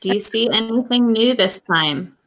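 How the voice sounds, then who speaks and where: A woman's voice: fairly high pitch, moderate pace, a bit bright. A woman running a science demonstration for students, giving short, simple instructions. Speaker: guest demonstrator.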